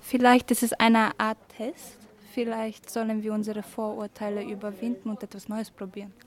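Girl acting bookish young woman, even pitch. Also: normal speed, close to an everyday voice. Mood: thoughtful. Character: bookish, thoughtful young woman who loves London. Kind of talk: amateur school radio play acting.

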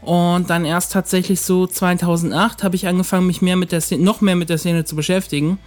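A man's voice: high-pitched, flat delivery, a little fast. A man from northern Germany, a podcast guest telling rambling stories with lots of fillers. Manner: rambling storyteller, many fillers. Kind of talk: casual podcast chat.

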